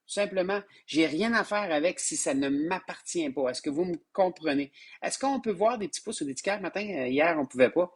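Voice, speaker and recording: A man with a Québécois French accent gives a live-streamed spiritual lesson, hoarse from a sinus infection, who repeats words and restarts often and calls his listeners his loves. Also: a little high, expressive, a little fast, hardly any pauses. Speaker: spiritual teacher.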